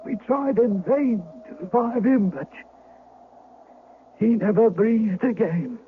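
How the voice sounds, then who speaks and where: A narrow, faintly hissy old radio recording of a household servant in a period drama. The voice is high and flustered, with a halting, respectful stammer. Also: male voice, lively intonation. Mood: sorrowful, flustered. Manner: hesitant, stammering, deferential. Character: flustered, deferential male servant of a great house. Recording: tinny old radio broadcast with hiss.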